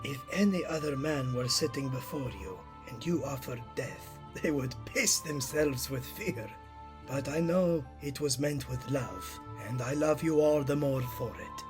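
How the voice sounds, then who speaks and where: A man's voice: mid-range voice, unhurried, lightly acted. A man playing a frail, dying old Italian master painter in an audio drama, weary, wry and theatrical. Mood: weary, tender. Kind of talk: scripted audio drama dialogue. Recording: music behind the voice.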